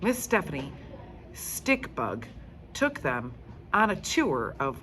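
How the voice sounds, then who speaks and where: A woman storyteller reads a children's book aloud. Her voice is fairly low but very animated, and she takes her time over the words. Faint background noise runs behind her. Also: takes it slowly. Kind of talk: expressive children's book narration.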